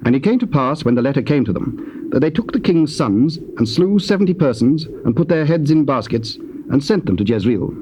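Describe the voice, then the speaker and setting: A man's voice: a little high, lively intonation, a little fast, mellow. A man with a British accent narrating dramatised scripture in a solemn storytelling style. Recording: noisy old cassette tape recording.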